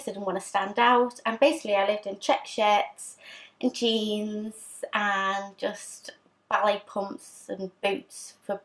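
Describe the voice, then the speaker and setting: A young woman vlogger chatting candidly to camera, her voice husky from a sore throat, with frequent ums. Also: mid-range voice, poor audio. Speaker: fashion vlogger. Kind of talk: casual chat to camera.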